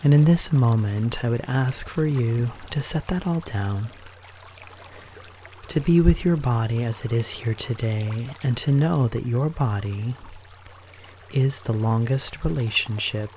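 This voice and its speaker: A man leading a guided meditation in a gentle, unhurried manner, leaving pauses between short phrases. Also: mid-range voice, slight background noise.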